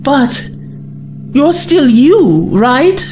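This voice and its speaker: A woman plays a devoted, worried young sidekick in a sci-fi audio drama. Her highish voice moves slowly and hesitantly, with lively swings in pitch. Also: a little hiss or hum, heavily acted. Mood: anxious. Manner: hesitant, earnest. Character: anxious, devoted young female companion of the Doctor.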